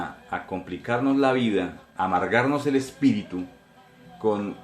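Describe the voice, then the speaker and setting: A Colombian man in his forties preaches his testimony in an earnest, direct way, circling back over his own words. His voice sits on the low side. The sound carries a noticeable room echo. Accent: Colombian Spanish. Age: 40s. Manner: earnest, repetitive, addressing listeners directly. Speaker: pastor. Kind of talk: sermon testimony.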